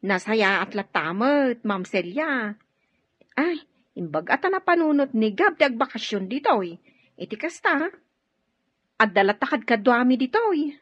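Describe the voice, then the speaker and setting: High, lively, effusive housekeeper. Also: female voice, measured pace, husky, dry recording, full character voice. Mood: welcoming. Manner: effusive, expressive. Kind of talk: Ilocano radio drama acting. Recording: dull band-limited radio drama audio.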